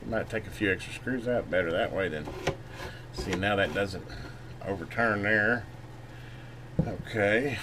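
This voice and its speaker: A man giving a casual hands-on repair demonstration, folksy and unhurried as he talks while working. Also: fairly low pitch. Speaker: channel host doing electronics repair. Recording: noisy room, clearly audible background noise.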